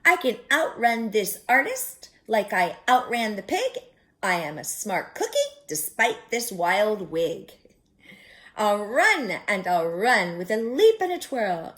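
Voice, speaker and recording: A woman's voice: medium pitch, very animated, takes it slowly, fairly bright tone. A woman voicing a boastful, cheeky little gingerbread girl in teasing sing-song rhymes for a storybook. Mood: gleeful taunting.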